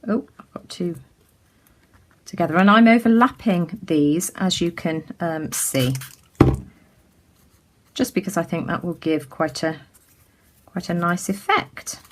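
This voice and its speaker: A woman from the UK giving a casual craft tutorial as she works, chatty and full of ums, her voice a little croaky. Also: on the low side, a little slow, long pauses. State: slightly croaky. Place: indoors at a craft table.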